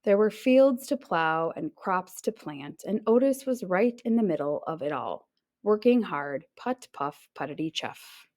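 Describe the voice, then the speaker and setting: Lively woman reading a storybook aloud. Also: lowish voice, measured pace. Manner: expressive, acting out sounds and exclamations. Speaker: teacher reading a picture book aloud. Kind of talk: children's storybook read-aloud narration.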